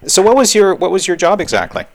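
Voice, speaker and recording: A man's voice: sits high, sing-song, fast talker, gravelly. A man interviewing a guest in a curious manner, often restarting his questions. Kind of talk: radio interview.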